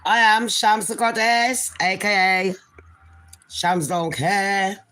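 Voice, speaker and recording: A woman with a nasal voice hosts a gossip livestream in a mid-pitched tone. She chats loosely and rambles, often turning to address her viewers directly as people or darlings. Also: slow, sharp tone. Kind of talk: casual live chat to viewers.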